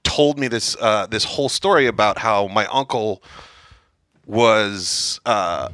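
Bright-voiced podcast host, dry sound. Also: male voice, on the low side, slow pace, no room sound. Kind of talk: casual chat.

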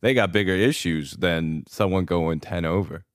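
Deep smooth young man, laid-back. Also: normal speed, dry recording, clean studio sound. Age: 20s. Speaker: podcast guest. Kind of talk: casual chat.

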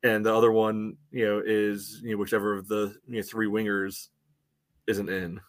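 Lower-voiced man analyzing soccer. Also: level tone, moderate pace. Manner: conversational, hedging, frequent "you know". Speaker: soccer podcast co-host. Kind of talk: casual sports analysis.

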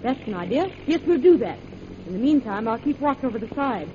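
An actress playing a commanding, excitable woman traveller in an old radio adventure, urgent and theatrical. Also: on the high side, brisk. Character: commanding, excitable female air traveller on a jungle search. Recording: muffled old radio recording, heavy noise.

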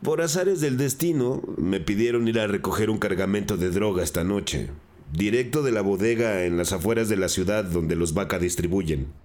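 A cornered street dealer and school driver , played by a man in a crime audio drama. His voice sits fairly low. He sounds defensive yet earnest, pleading to be believed. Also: normal speed, darkish tone, close to an everyday voice.

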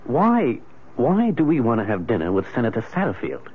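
A man acting in a 1970s radio drama as a troubled middle-aged American husband, speaking haltingly and repeating his words. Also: medium pitch, wildly swooping pitch, husky. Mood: mild, puzzled. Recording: narrow, phone-like old radio sound.

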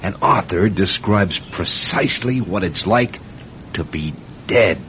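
An actor playing an obsessed, reckless novelist in an old-time radio drama, speaking with insistent, cajoling intensity. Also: male voice, sits low, lively intonation, measured pace, a bit bright. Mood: fervent, pleading. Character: obsessed, reckless male novelist chasing a death experience. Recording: dull lo-fi radio recording with hiss.

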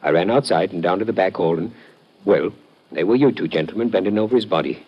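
An actor playing a humble working-class London lodger with a Cockney accent and a husky voice in a 1950s radio drama. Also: male voice, low voice, quick pace, highs cut off. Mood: earnest, deferential. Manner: humble, plain-spoken, deferential. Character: working-class London lodger, deferential male murder witness.

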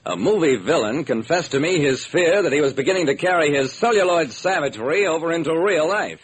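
A man's voice: fairly high pitch, lively intonation, brisk, breathy edge, low-quality recording. A man narrating a scripted radio detective drama in the first person, telling it dramatically. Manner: dramatic storytelling.

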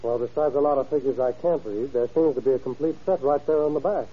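A man plays a practical young lawman in an old radio crime drama. He speaks fast in a husky, earnest voice. The sound is dull, like an aged broadcast. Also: mid-range voice, natural voice. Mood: doubtful. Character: earnest, practical young male deputy, sheriff's son. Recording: muffled vintage radio drama recording.

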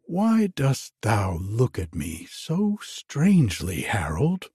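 A man's voice: fairly low pitch, huge pitch swings, slow pace, dark tone, husky, no echo, clean studio sound, heavily acted. A man voicing a proud, teasing young maiden in a storybook romance, with theatrical, questioning, archaic speech. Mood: startled.

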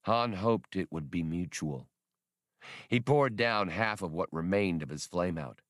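A man's voice: low voice, lively intonation, measured pace, dry recording, clean studio sound. A man narrating adventure fiction as a scripted, dramatic audiobook reading.

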